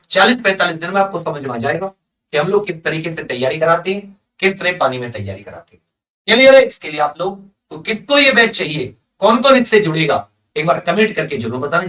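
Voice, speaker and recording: A man's voice: sits high, bright, forceful tone, distant mic. A man with a North Indian accent, an exam coaching teacher briefing students emphatically, asking questions and answering them in a familiar big-brotherly way. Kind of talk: exam update addressed to students.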